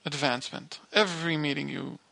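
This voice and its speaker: A man giving a spoken spiritual lesson to a group in a halting way, often pausing mid-sentence. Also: fairly high pitch, creaky, sharp tone, rough recording. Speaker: spiritual teacher.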